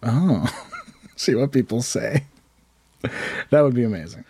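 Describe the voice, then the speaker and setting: A man with a hoarse voice, telling rambling self-deprecating stories in casual chat. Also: medium pitch, animated, measured pace, mellow, no echo. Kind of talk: casual comedic storytelling.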